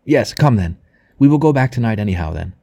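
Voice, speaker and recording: A commanding, excited husband acted by a male narrator in an audiobook drama. He gives brisk, clipped orders with urgency, a little raised in pitch and very dry. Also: vocal fry, dry recording. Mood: excited, urgent.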